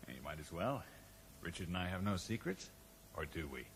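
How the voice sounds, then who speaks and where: An actor playing a cool, calculating schemer, urbane, wry and evasive, in a 1980s radio drama. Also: male voice, deep voice, very animated, moderate pace. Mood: wry, guarded. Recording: echoey, noisy old radio broadcast recording.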